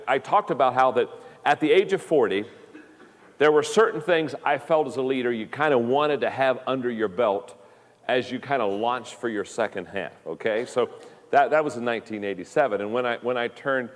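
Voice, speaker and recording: A man in his fifties delivers a leadership lesson in a folksy, personal way. He often restarts his phrases, repeating a word before he gets going. His voice sits at an ordinary pitch and rings with a long hall reverb. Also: moderate pace. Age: 50s. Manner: folksy, repeats words when starting a thought. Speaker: leadership speaker.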